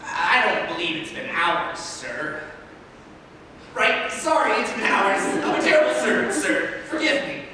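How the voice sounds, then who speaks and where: Woman playing fawning male servant, comic stage acting, hesitant, very lively intonation, distant echoey sound. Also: medium pitch, unhurried. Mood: flustered apologetic. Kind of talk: staged play reading. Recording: echoey hall from far off, faint noise.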